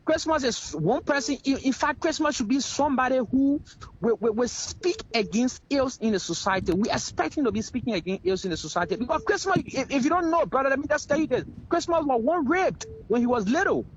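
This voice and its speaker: A man's voice: very high voice, lively intonation, fairly quick, breathy edge, highs cut off. A man with a Liberian accent giving heated political commentary as a talk show guest, emphatic and repeating phrases for effect.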